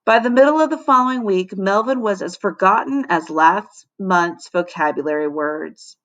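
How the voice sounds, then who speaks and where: A woman teacher narrating a children's storybook aloud in an expressive, dramatic way. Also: medium pitch, normal speed, dull audio. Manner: animated, dramatic.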